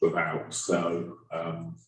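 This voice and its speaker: A man's voice: deep voice, monotone, very slow, fairly bright tone, a little hiss or hum. A man chairing a town meeting, stumbling and repeating words when he speaks off the cuff. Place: council meeting room. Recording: roomy hall, voice from far off.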